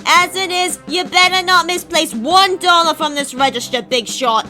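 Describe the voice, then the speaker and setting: Woman playing a bossy café boss, high bright voice, demanding and exasperated, soft music under. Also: moderate pace. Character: bossy, shrill adult woman coffee shop manager. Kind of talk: fan comic dub voice acting.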